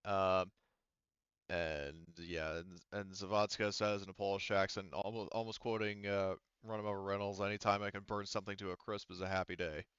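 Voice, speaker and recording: A man's voice: low-pitched, level tone, normal speed, a bit bright, clean tone, no room sound. A man speaking casually and profanely as game master of a tabletop role-playing game, in slightly muffled audio.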